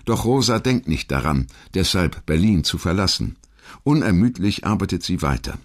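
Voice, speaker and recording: A man reading a scripted historical documentary narration in a measured, dramatic way. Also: low voice, animated.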